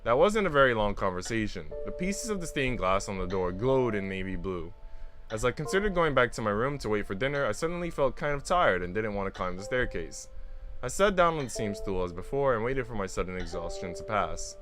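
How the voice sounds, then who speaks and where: A man's voice: fairly low pitch, a little fast, music in the background. A man streaming a visual novel, switching between casual slangy chat and reading the story aloud to his viewers. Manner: slangy, animated storytelling in asides. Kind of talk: casual livestream chat mixed with read-aloud narration.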